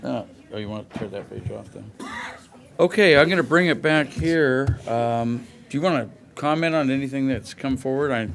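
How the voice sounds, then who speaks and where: A man's voice: mid-range voice, normal speed, gravelly. A man with a mid-range voice runs a city board meeting. He keeps things loose and casual, with light joking between agenda items. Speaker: board chair. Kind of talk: chairing a public meeting. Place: council meeting room.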